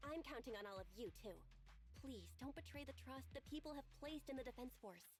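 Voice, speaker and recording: A high-voiced young proxy girl, earnest and straight to the point. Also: animated, fairly quick, soft background music. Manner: earnest, direct. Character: earnest young female proxy, sharp and cautious. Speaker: proxy guide. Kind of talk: video game dialogue.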